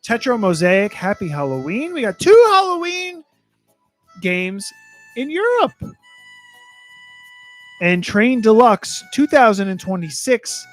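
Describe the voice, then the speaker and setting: Brief: playful male podcast co-host, high voice, dry sound, faint music underneath. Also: very animated, takes it slowly, long pauses. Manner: playful, jokey. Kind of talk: casual chat.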